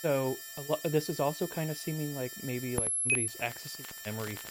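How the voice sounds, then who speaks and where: A woman's voice: very deep voice, fast, background noise. A woman co-hosting a gaming video, chatting casually and jokingly about the game. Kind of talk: casual chat, commenting on a game.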